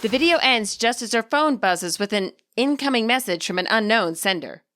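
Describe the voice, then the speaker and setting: A woman narrating a video game story from a script, in a wry, expressive storytelling manner. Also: fairly high pitch, moderate pace, slight background noise.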